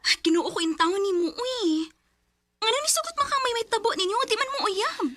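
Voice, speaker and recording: A woman's voice: very high voice, animated, fairly quick, fairly bright tone. An actress with a Cebuano Filipino accent playing a shocked, sympathetic female friend in a radio drama. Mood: shocked, pitying. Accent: Filipino, Cebuano-speaking. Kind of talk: Bisaya radio drama acting.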